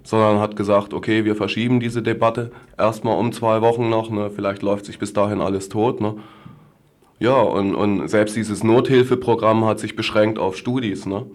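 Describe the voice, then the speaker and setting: Low, even-toned man in casual interview. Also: moderate pace. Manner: colloquial, tags statements with questioning checks. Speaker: activist interviewee.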